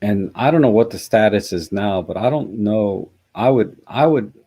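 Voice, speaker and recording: A man hosting a casual live chat, musing and halting, with a hoarse, scratchy voice. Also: fairly low pitch, animated, dark tone. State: hoarse after a night out. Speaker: livestream host. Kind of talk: casual live chat, reading out and answering comments.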